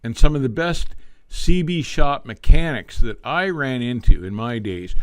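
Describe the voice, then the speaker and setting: A man's voice: mid-range voice, expressive, measured pace, smooth, dry recording. Older man, folksy storyteller, dry close sound. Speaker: livestream host, veteran truck driver. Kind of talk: casual reminiscing talk on a live stream.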